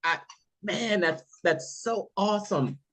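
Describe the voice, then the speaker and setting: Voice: medium pitch, fairly bright tone. A host leading a sales practice video call, encouraging and affirming the others. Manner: encouraging, affirming, drawn-out delivery. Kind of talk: casual group call.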